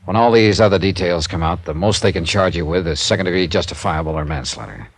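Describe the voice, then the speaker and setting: An American actor playing a wry, hard-boiled insurance investigator in an old radio drama, clipped and deadpan. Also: male voice, low voice, fast, slightly rough. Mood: quiet, probing. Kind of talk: 1950s radio detective acting, narration. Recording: vintage radio recording with music.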